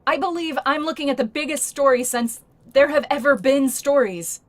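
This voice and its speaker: An actress playing a shrewd, blunt journalist in a naturalistic audio drama, speaking frankly and persuasively. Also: female voice, sits high, moderate pace, natural voice. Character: shrewd, blunt female journalist negotiating a risky deal.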